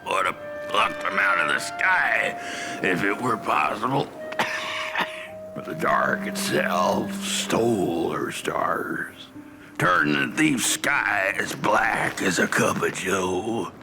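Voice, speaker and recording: An actor voicing a crippled, half-dead old coal miner in a video game, a wry guide with a gravelly, folksy, rambling drawl. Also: male voice, lowish voice, animated, slow, over music, full character voice. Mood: brooding storytelling. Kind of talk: character acting in a video game.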